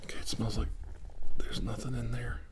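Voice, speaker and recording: A man playing a calm 1940s army doctor in an improvised ASMR role-play, muttering and often whispering reassurance. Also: medium pitch, moderate pace, natural voice. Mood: hushed, curious. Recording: noisy recording with clicks.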